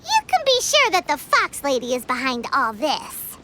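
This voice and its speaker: A woman voicing a small, excitable fairy companion in a video game, with a squeaky childlike voice and chatty delivery. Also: moderate pace, very noisy. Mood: wry, chatty. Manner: chatty, exclamatory, refers to herself by name. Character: small excitable chirpy fairy companion, talks in third person. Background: game music.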